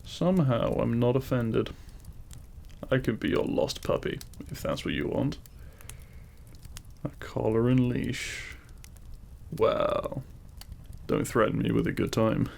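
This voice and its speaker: Demon king romantic lead, male actor: very heavy vocal fry, tender and teasing, courtly wording, intimate ASMR roleplay delivery. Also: mid-range voice, animated, slow, pauses often, darkish tone, heavily acted. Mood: playful, flirtatious. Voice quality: gravelly. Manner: tender, formal, courtly phrasing.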